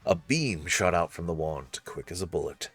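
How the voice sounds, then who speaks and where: A man's voice: low voice, animated, normal speed, fairly bright tone, slightly rough, soft background music. A man narrating a visual novel as a dramatic story, reading aloud for a let's play.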